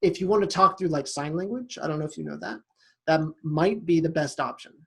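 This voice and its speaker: A man's voice: a little high, brisk, vocal fry, slightly rough. A man co-hosting a casual podcast, joking and rambling with lots of restarts. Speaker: podcast host. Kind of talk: casual chat among friends.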